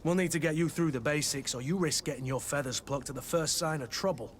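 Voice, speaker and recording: An actor playing a gruff, loyal mercenary weapons master in a video game, wry and bantering. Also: male voice, on the high side, fast, slight background noise, close to an everyday voice.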